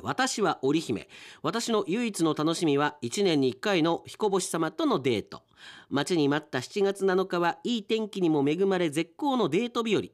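Guy reading as a romantic star maiden, wistful radio story telling, lightly high and lilting. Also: lively intonation, normal speed. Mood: wistful, hopeful. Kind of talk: read-aloud radio drama story.

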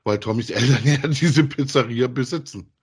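A man's voice: mid-range voice, normal speed, breathy edge. A man co-hosting a podcast, chatting casually and with some amusement as he reviews audio dramas. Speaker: podcast co-host.

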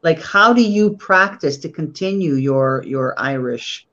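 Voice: medium pitch, lively intonation, unhurried, bright, forceful tone, muffled recording. A host from the United States whose first language was Polish, talking in a chatty, rambling way full of fillers, on a video call. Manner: chatty, rambling, lots of fillers like "you know". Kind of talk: casual conversation.

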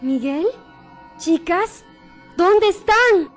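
A woman's voice: very high voice, animated, very slow, faint music behind, exaggerated voice. A woman playing a whiny, bossy young schoolgirl in a radio drama. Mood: urgent.

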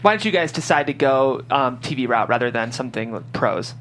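A woman's voice: rumbling low, lively intonation, fairly quick, a little hiss or hum. A woman hosting a show, reading script directions and interviewing writers. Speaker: show host and script narrator.

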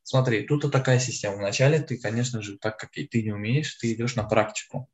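A man's voice: mid-range voice, quick pace. Eighteen-year-old guy chatting casually. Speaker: podcast co-host. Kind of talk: casual podcast chat.

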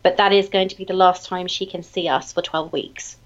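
A British woman co-hosting a casual remote chat, animated and emphatic, with a slight lingering cough. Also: fairly low pitch, a little fast, dull audio. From United Kingdom. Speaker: podcast co-host.

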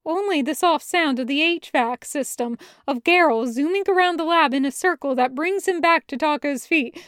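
High-voiced woman reading a story. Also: moderate pace, a bit bright, no room sound, studio quality. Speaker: podfic reader narrating fan fiction. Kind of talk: expressive scripted narration.

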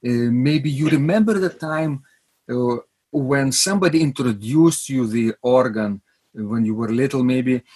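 Husky-voiced hesitant podcast host man. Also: medium pitch, a little slow, darkish tone. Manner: hesitant, many uh fillers, asks leading questions. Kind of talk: podcast interview.

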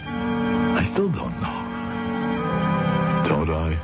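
Deep-voiced, anxious guilty businessman, old radio drama. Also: huge pitch swings, very slow, muffled recording, over loud music, exaggerated voice. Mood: brooding, guilty. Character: anxious guilty businessman haunted by his own scheming. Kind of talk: 1970s radio drama acting.

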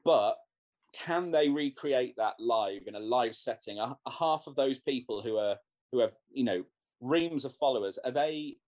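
A man at an ordinary middle pitch talks through his thoughts as a musician being interviewed. He rambles, restarting sentences and leaning on fillers as he goes. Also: normal speed, a little mellow. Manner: rambling, many restarts and "you know"s. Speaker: guest musician. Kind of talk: casual interview chat.

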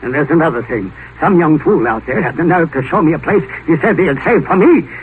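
An actor playing a gruff, ill-tempered rich old tycoon who grumbles curtly, in mid-century radio drama style. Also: male voice, a little high, expressive, brisk, natural voice. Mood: irritable. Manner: blustering, grumbling, curt. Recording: noisy, tinny 1950s radio broadcast.

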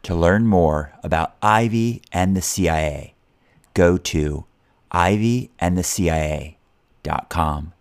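A man with a deep voice speaks as a podcast co-host. He delivers interview questions and announcements in a measured, orderly way.

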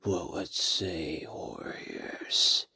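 Slow whispering horror ghoul. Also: male voice, low-pitched, lively intonation, pushed and bright, pitched below the performer's own voice, heavily acted. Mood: creepy, menacing. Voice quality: breathy whisper. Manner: slow, drawn-out, menacing. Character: sinister whispering unseen horror ghoul teasing listeners. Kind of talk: horror podcast cold open.

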